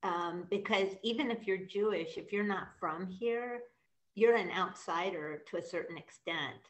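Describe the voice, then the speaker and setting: A woman in her sixties from the Midwest, interviewing a guest over a video call, halting with many ums and restarts. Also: medium pitch, rough recording. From American Midwest. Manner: hesitant, many restarts and ums, asks long questions. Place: room with brick wall behind.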